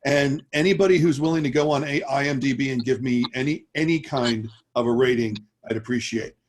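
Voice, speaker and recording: A man's voice: mid-range voice, fairly even intonation, brisk. Dry, self-mocking man on a video call. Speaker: actor. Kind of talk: casual video-call chat.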